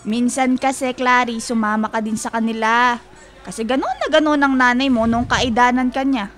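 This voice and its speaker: A woman's voice: a little high, steady tone, normal speed, faint music behind, lightly acted. An actress playing a chatty, teasing middle-aged woman storekeeper in a Filipino radio drama, with motherly familiarity. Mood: nosy, concerned.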